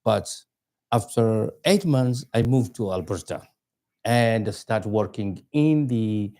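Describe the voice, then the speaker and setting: A man who is a guest on a casual chat, telling his story haltingly with pauses and frequent short agreements. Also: on the low side, animated, warm tone.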